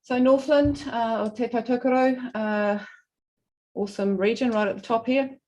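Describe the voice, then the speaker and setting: A woman with a New Zealand accent giving a semi-formal presentation to a hearing, speaking haltingly and often correcting herself. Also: fairly high pitch, fairly even intonation, a little mellow, poor audio. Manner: halting, hesitant delivery with frequent self-corrections. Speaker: regional council representative presenting.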